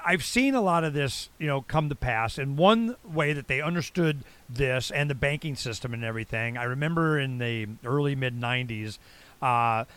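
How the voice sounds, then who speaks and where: A man's voice: medium pitch, a bit bright. An American man around sixty hosting a casual interview, rambling and blunt with salty slang. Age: late 50s to early 60s. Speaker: talk show host.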